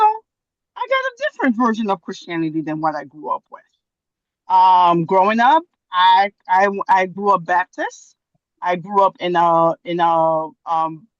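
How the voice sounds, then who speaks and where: A woman from Haiti giving a long comment on a video call, pausing often with fillers and restarts. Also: on the low side, no echo, dull audio. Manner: hesitant, many fillers and restarts. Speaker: audience member commenting.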